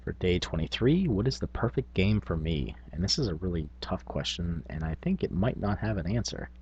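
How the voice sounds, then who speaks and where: A man's voice: low-pitched, animated, brisk, a little mellow, lo-fi sound, slight background noise. A man speaking informally to camera as a tabletop gaming vlogger, thinking aloud in casual talk. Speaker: tabletop gaming video blogger.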